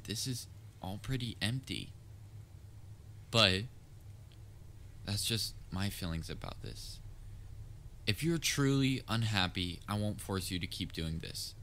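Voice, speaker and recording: Over a clearly noisy ambient background comes a man acting as a theatrical male supervillain with a fairly low voice. He is soft-hearted and anxiously attached to his nemesis, speaking straight to the listener in an earnest, halting way with touches of wry banter, as in an audio roleplay.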